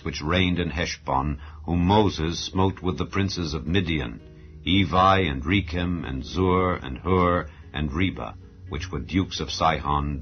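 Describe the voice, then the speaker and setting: A man with a very low voice reads scripture in a solemn, formal style. His phrasing is measured and declamatory, as a Bible narrator's. The recording is somewhat muffled and lo-fi, with background music under the voice. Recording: dull lo-fi audio under music.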